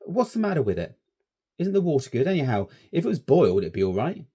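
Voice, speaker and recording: A man voicing a rude, scornful schoolboy scout who speaks loudly and sneeringly. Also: fairly high pitch, very animated, fast talker, slightly rough, voice pushed up. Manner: loud, sneering and bossy.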